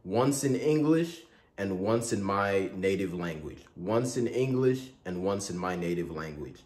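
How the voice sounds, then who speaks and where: Casting: young man from Igboland with a deep voice, laid-back tutorial host, rambling delivery with plenty of "um"s and restarts. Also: measured pace. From Igboland, Nigeria. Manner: relaxed, rambling, with many fillers and self-corrections. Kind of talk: casual spoken tutorial.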